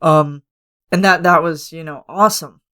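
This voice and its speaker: A man hosting a podcast from his room at home, hoarse and raspy as he loses his voice, congested and coughing, rambling with lots of fillers and restarts. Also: a little high, normal speed, sharp tone. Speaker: podcast host. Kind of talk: casual solo podcast chat.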